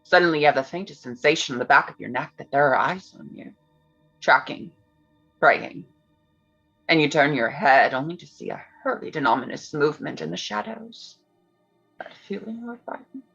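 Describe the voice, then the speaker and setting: A woman's voice: deep voice, lively intonation, moderate pace, creaky, breathy edge, soft background music, exaggerated voice. Woman acting intense gothic storyteller, muffled audio. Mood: taunting, eerie. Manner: suspenseful, pointed, shifting into hushed tones. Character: Mary Shelley, intense, teasing, theatrical woman storyteller. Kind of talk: dramatic staged reading of a gothic tale.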